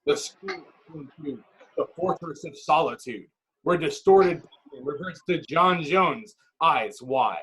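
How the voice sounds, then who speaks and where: A man's voice: fairly high pitch, expressive, takes it slowly, fairly bright tone, rough recording. A man narrating a screenplay's action with intense, clipped dramatic phrasing. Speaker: narrator reading stage directions.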